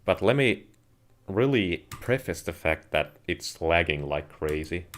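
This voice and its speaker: A man with a Finnish accent chats to viewers in measured, slightly wordy phrasing while livestreaming a video game. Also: low-pitched, animated, a bit bright. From Finland. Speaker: live streamer playing a video game. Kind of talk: casual livestream gaming commentary, chatting with viewers. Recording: noisy home stream with keyboard clicks. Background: keyboard and mouse clicking.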